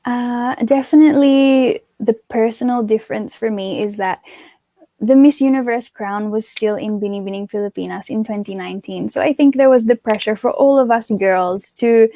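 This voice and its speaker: A woman's voice: on the high side, moderate pace, warm tone, dry recording. Give me a young woman from Australia, a pageant titleholder answering interview questions in a chatty, earnest way with lots of fillers.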